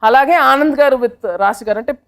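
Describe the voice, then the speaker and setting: Lady chatting in a film interview, highish voice, drops english bits in. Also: moderate pace. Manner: code-switches into English phrases. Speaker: film team member, interviewee. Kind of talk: casual interview talk about a film.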